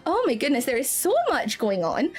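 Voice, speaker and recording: A woman's voice: sits high, sing-song, brisk, slightly rough, soft background music. A woman with a Scottish accent live-streaming from home, bubbly and giggly, gushing thanks to her viewers. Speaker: VTuber streamer. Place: indoor home streaming setup.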